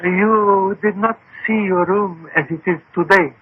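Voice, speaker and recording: A grave, courteous crystal gazer in a radio drama. His voice sits high for a man and has a husky grain, with formal, cryptic phrasing. The old broadcast sounds dull and lo-fi. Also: fairly even intonation, unhurried, dry recording. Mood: solemn, mysterious. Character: grave, deferential male crystal-gazing fortune teller. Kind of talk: 1970s-80s radio drama acting.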